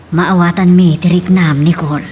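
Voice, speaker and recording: A woman's voice: lowish voice, steady tone, normal speed, dark tone, slight background noise, close to an everyday voice. An actress playing a concerned, understanding mother in an Ilocano radio drama.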